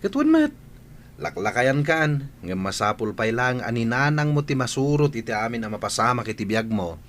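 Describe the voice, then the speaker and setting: Animated teasing Ilocano buddy, played by a man. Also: mid-range voice, moderate pace, a little hiss or hum, lightly acted. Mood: teasing, advising. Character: teasing, jovial male buddy giving advice. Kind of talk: Filipino audio drama acting.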